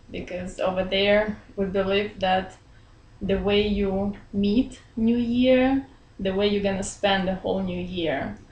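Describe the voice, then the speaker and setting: Woman, 30s, from Belarus, friendly, laughs often, pauses for words, small office, webcam, roomy echo. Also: medium pitch. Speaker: interviewee. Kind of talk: casual interview chat. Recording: roomy echo, faint room hum.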